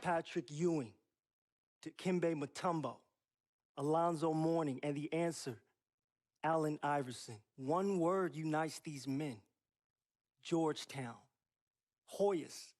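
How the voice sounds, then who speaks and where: A college basketball recruiter in a game cutscene. His slightly high voice is measured and emphatic, proud and salesmanlike in a polished pitch. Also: a little mellow. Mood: persuasive.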